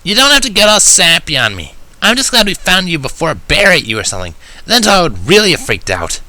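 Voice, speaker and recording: A man's voice: sits high, huge pitch swings, a little fast, pushed and bright, dry recording, pitched above the performer's own voice, exaggerated voice. A man voicing a brash, teasing young female pegasus pony in a fan fiction reading, blunt and casual.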